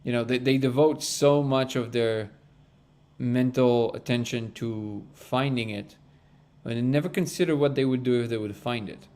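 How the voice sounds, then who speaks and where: A man's voice: mid-range voice, normal speed. A man who grew up in Russia, hosting a live discussion stream, chatting casually and earnestly with viewers and reading out their comments as he goes. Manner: rambling, restarts, animated and earnest. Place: Southern California.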